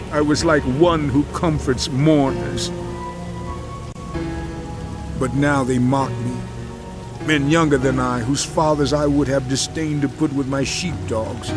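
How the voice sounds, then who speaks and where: An actor playing a suffering righteous man in a dramatized audio Bible, with solemn, impassioned, measured delivery. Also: mid-range voice, close to an everyday voice. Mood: gentle, nostalgic.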